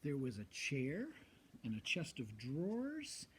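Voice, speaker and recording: A man reading a picture book aloud expressively for children, pausing to put questions to young listeners. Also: medium pitch, wildly swooping pitch, a little hiss or hum. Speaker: guest children's story reader.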